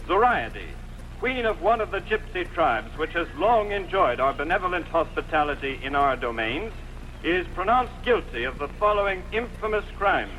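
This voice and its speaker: A man's voice: a little high, bright, forceful tone. A man acting a solemn royal official who reads out a sentence in a stately, declamatory way for a dubbed costume film. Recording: dull lo-fi fan dub, faint noise.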